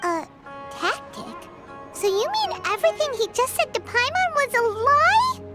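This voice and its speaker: Tiny naive fairy companion, very high-pitched and lively, anime game dub with loud music underneath. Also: female voice, a little slow, full character voice. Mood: puzzled. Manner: refers to self in third person, exclamatory.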